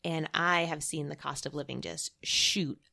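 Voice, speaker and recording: A woman's voice: low-pitched, steady tone, a little slow, creaky, pushed and bright. A woman from Massachusetts, a guest in a casual interview, talking chattily and often laughing. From Massachusetts, USA.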